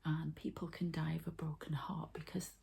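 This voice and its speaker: A woman giving an ASMR energy healing session, softly and haltingly talking through each step as she goes. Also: low voice, level tone, vocal fry, husky. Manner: soft, halting, murmured commentary on each step. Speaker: ASMR energy healer and channeler.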